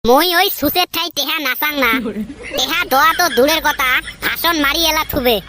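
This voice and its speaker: A man plays a young cartoon son with a very high, whiny pitch. He is cheeky and argumentative, speaking in a northern Bengal accent. The recording is clearly noisy. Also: a little fast. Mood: pleading. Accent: northern Bengal (Cooch Behar region). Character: cheeky young son, pleading and scheming for money. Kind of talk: comedy cartoon voice acting in rural dialect.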